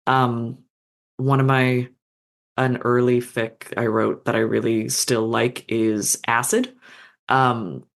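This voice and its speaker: Author guest, casual podcast chat, meandering, many fillers, mid pitch. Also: little pitch movement, slow pace, gravelly. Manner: thoughtful, meandering, frequent fillers and restarts. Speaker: interviewed fanfiction author.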